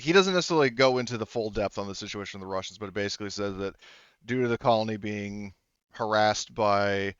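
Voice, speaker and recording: Guy running a tabletop rpg, lowish smooth voice, improvising kinda haltingly, dry slightly muffled mic. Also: a bit bright, no room sound. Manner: casual, halting, improvising as he goes. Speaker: game master. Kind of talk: improvised narration of a tabletop roleplaying game.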